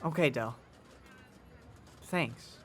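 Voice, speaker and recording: A man's voice: mid-range voice, drawn-out words, fairly bright tone, slight background noise. A man playing a polite, hesitant sixteen-year-old boy in an audio drama. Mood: reluctant, restless. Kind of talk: nostalgic audio drama acting.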